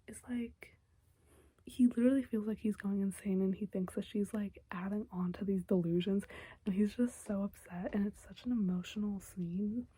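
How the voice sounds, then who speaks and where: A young woman recaps a show in a close, soft whisper. Her voice is very breathy and mellow, and the sound is dry with no room around it. She rambles casually and fills gaps with "like" and "um". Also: mid-range voice, no echo. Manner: soft whisper, chatty with many fillers. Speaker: TV episode recapper.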